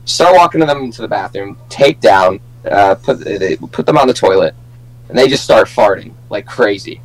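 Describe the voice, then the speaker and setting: A man's voice: lowish voice, animated, normal speed, low-quality recording. A young man co-hosting a podcast, chatting casually and telling stories among friends. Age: young adult. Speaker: podcast co-host.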